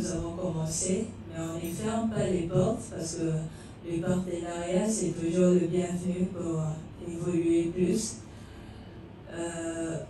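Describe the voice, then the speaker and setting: In a very reverberant room, away from the microphone with music behind, a woman gives panel answers. She speaks slowly and haltingly, with a fairly low voice for a woman, pausing often as she searches for words. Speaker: comic book artist and caricaturist, panelist. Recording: very echoey room, distant mic, music.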